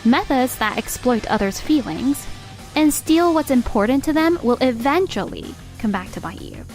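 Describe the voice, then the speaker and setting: A woman's voice: high-pitched, expressive, moderate pace, soft background music. A woman playing an earnest, composed young engineer in a manga dub, speaking politely. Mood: firm.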